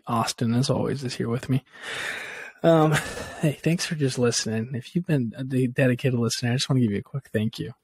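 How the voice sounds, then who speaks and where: A man's voice: mid-range voice, a little mellow, slightly rough, no room sound. A man hosting a casual podcast, friendly and chatty, laughing easily and sometimes losing his words. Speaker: podcast host. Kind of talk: casual chat.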